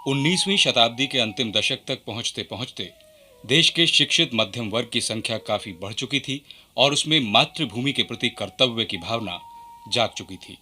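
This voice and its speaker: A man delivers scripted historical narration for radio in a formal, measured way. His voice sits at a middle male pitch and has a bright, crisp-edged forcefulness. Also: animated.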